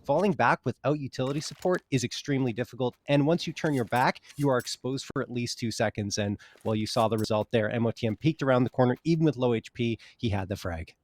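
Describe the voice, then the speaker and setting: Man, esports color caster, analytical, breaks down plays. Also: medium pitch. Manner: analytical, explains plays step by step. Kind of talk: color commentary.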